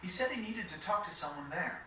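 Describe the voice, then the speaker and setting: A cocky teenage game adventurer, talks plainly and casually in a high, piercingly bright voice. It echoes heavily, as if from a little way off. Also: male voice, lively intonation, brisk, exaggerated voice. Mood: troubled. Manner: casual, slangy, incredulous. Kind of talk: video game voice acting. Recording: very echoey, distant game capture with noise.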